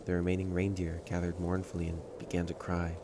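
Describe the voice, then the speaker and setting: A man playing a proud, prickly stray catboy who hides his loneliness, in an emotional audio roleplay with a haughty, halting delivery. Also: low voice, monotone, background noise, lightly acted. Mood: wistful storytelling. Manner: tsundere, haughty, defensive, halting.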